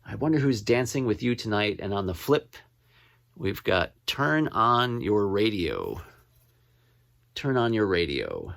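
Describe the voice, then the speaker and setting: A man gives a relaxed, informal show-and-tell of items in his collection. He talks slowly, in halting, rambling stretches, and often says a title twice as he reads it out. The sound is dry, with little room reflection. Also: fairly low pitch, gravelly, no room sound. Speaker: record collector showing his finds. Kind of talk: casual show-and-tell to camera.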